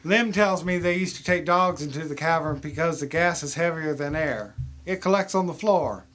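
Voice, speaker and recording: A man's voice: fairly high pitch, normal speed, fairly bright tone, lightly acted. A man playing an inquisitive small-town hospital doctor in a scripted radio mystery. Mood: matter-of-fact. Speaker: amateur voice actor in an audio mystery.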